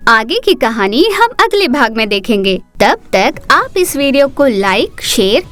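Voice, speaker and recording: A woman narrating a cartoon story in a steady, expressive storytelling manner. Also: on the high side, measured pace, faint music behind.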